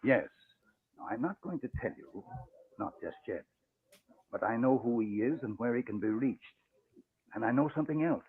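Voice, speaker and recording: A man's voice: medium pitch, expressive, normal speed, pauses often, natural voice. An actor playing an emotional, proud old father in 1940s radio style, with impassioned, dramatic delivery. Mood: confidential. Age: older. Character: emotional proud old father, retired crime-fighting newspaper owner. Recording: muffled, narrow-band old radio recording.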